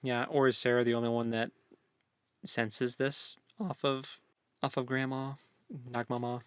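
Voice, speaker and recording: A man's voice: medium pitch, level tone, unhurried, smooth, no echo. A man co-hosting a book discussion in a casual, meandering way, repeating words as he goes. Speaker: co-host of a book discussion show.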